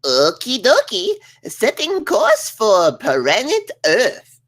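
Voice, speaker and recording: A woman's voice: mid-range voice, huge pitch swings, slow, fairly bright tone, exaggerated voice. A woman voicing a cheery, cutesy female ship computer with a chirpy manner in a comedy table read. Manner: chirpy, giggly.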